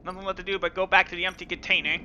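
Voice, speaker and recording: A man's voice: high voice, flat delivery, racing, noisy background. A man hosting a gaming video, reading game text dramatically with casual asides. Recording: dull lo-fi sound with game music.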